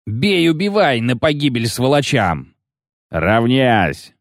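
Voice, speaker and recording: A man playing a gruff, growling dwarf commander. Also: fairly low pitch, moderate pace, a little mellow, no echo, clean studio sound, natural voice. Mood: roaring battle commands. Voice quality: hoarse, growling. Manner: barking, defiant, coarse. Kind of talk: audiobook character acting.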